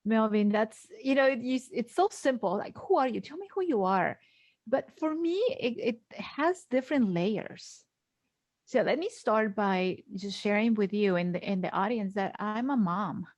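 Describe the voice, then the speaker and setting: A middle-aged woman from Guatemala shares personal stories as a podcast guest. She speaks slowly at a medium pitch, earnest and emphatic, often repeating a phrase for weight. The sound is dry, with no room echo. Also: lively intonation. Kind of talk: personal storytelling in an interview.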